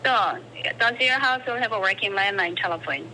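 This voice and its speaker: A woman with a bright, forward voice conducts a phone survey, politely persisting with scripted questions and hesitant ad-libs. She comes through a phone's speaker, with some background noise. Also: mid-range voice, brisk. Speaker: telephone survey interviewer. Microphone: phone call picked up by a camera in a garage. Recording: noisy phone call over speakerphone.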